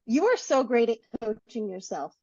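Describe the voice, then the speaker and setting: A woman's voice: fairly high pitch, very animated, moderate pace, a little mellow, slightly rough, no room sound. A woman co-hosting a coaching podcast, talking earnestly and encouragingly as she walks through practical steps. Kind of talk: casual podcast chat. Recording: dull, dry close mic.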